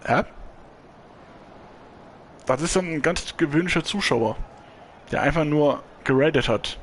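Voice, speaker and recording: A man's voice: fairly high pitch, measured pace, a little hiss or hum. A man from Germany live-streaming a football video game, chatting casually and rambling as he answers viewers.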